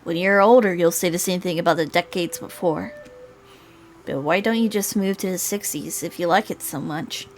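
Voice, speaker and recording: A woman voicing a reflective older man who narrates a visual novel in a measured, wistful way. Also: lowish voice. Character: reflective older male lawyer, a wedded war veteran.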